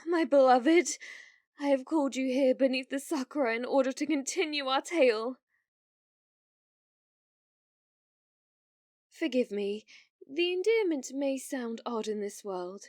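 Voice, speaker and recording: A woman performs an obsessive, self-proclaimed demon lord in a young woman's body, with a highish voice. She speaks slowly and theatrically, in lofty old-world phrases, for an ASMR roleplay. The sound is close and dry. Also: no echo. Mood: tender, formal. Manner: grandiose, archaic, theatrical phrasing with flustered stammers.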